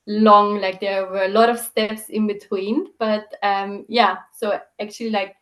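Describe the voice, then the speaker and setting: A woman's voice: medium pitch, little pitch movement, measured pace, fairly bright tone. A young woman from Austria with an Austrian accent, chatting as a podcast guest and peppering her speech with fillers. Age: young adult. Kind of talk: casual chat.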